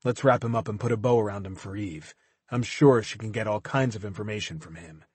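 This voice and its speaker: A man voicing a calm, threatening male CIA agent who speaks in a controlled, clipped way. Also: on the low side, a little fast, breathy edge, no room sound. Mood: cold.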